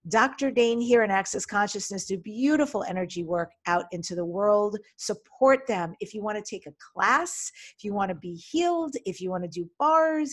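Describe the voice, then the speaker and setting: Middle-aged woman, podcast host, upbeat and eager, desk mic on a video call, home room. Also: medium pitch. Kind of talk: podcast interview. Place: home room with folding screen. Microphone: studio desk mic in front, video call.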